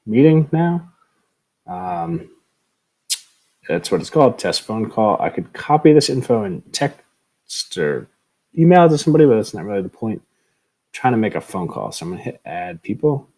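A middle-aged man giving a casual screen tutorial in a halting, informal way, on an earbud microphone at home. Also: low voice, darkish tone, poor audio. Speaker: tutorial presenter, educator. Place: room at home, indoors. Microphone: earbud headset microphone.

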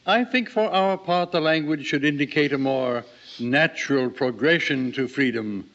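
An actor playing an aging, diplomatic elder statesman in an old-time radio drama, measured and courtly. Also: fairly high pitch, a bit bright. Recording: muffled old radio recording.

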